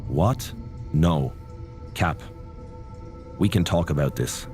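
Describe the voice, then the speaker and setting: An actor playing a cautious, earnest wrestling mentor in a video game cutscene, speaking in a grave, measured way. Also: male voice, low-pitched, big pitch swings, vocal fry, over music, heavily acted. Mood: alarmed. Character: grave, cautious male wrestling mentor wary of dark power.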